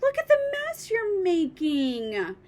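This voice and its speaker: A woman's voice: extremely high pitch, huge pitch swings, slow pace, a little mellow, voice pushed up, full character voice. A woman voicing a worried young boy who pleads urgently with his grandmother.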